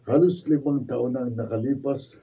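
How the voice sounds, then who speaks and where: An actor playing a gentle, reflective older father in a Filipino radio drama. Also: medium pitch, moderate pace, close to an everyday voice. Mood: musing, concerned. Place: radio drama recording studio, behind-the-scenes video. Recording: dull, distant mic, faint noise.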